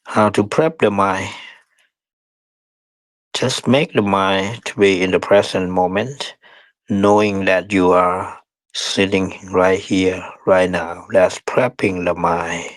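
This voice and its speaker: A man teaching guided meditation in short, unhurried phrases with pauses and non-standard English grammar. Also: deep voice, fairly even intonation, no room sound, poor audio.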